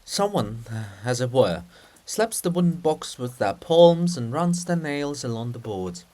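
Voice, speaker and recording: A man's voice: mid-range voice, sing-song, measured pace, dark tone. A man reading stage directions as narrator in a dramatic reading of a play.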